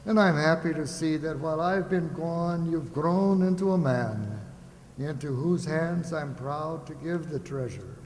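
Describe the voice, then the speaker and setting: Male actor for hearty fatherly merchant captain, middle-aged, unhurried and fairly high-voiced, stagey radio drama. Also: darkish tone, close to an everyday voice. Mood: hearty, proud. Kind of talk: stage-like radio play acting. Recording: echoey hall, faint noise.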